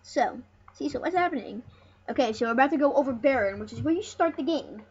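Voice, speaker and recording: A high-voiced speaker giving casual let's play commentary as host of a retro gaming series, rambling and often restarting phrases. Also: lively intonation, fast, slightly rough. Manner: rambling, repeats and corrects phrases. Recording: muffled, noisy home recording.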